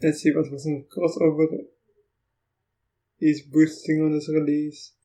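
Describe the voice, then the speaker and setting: Afrikaans-speaking man, mellow and monotone, reviewing software and thinking aloud. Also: on the high side. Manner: thinks aloud, halting, reacts to what is on screen. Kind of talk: casual screen-recording walkthrough.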